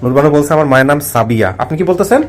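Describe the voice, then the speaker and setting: A man's voice: a little high, expressive, racing, faint music behind. A man with a Bangladeshi accent hosts in a conversational way, repeating answers back and asking short probing questions. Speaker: host of a family reunion show. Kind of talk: conversational interviewing.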